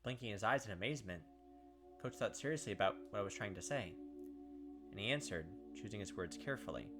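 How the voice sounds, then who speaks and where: A man's voice: on the low side, animated, fast talker, music in the background. A man reading visual novel narration aloud as a let's player, breaking off for casual asides.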